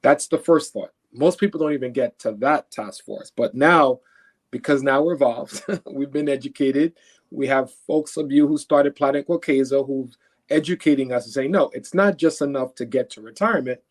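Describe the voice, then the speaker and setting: A man's voice: medium pitch, moderate pace. A man from Haiti answering as an expert guest in an interview, explaining emphatically in a conversational way, voicing example thoughts and often checking in with short questions. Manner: explains through imagined first-person quotes.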